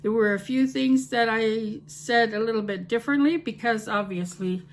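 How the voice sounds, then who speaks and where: A woman's voice: a little high, normal speed. Chatty middle-aged Canadian woman. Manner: rambling, friendly, with fillers and restarts. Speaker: cooking channel host. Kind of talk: chatty vlog talk to camera.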